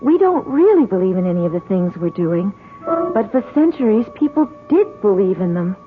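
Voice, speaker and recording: An actress playing a supportive, curious young college student in a 1970s radio drama, calm and inquisitive. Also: female voice, medium pitch, moderate pace, faint music behind, natural voice. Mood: curious, reassuring. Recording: muffled, faintly noisy vintage radio recording.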